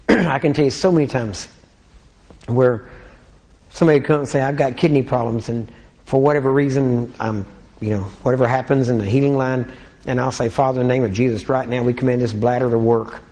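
Texan guy preaching, laid back and chatty, clears his throat a lot, keeps asking amen?. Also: medium pitch, fairly even intonation, a little fast, mellow, poor audio. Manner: conversational, frequent "amen?" and "you understand?" check-ins. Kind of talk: informal sermon.

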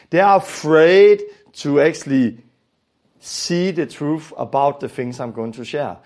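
A man's voice: fairly high pitch, creaky. A man from Denmark with a Danish accent, teaching a religious lesson earnestly and repeating phrases for emphasis.

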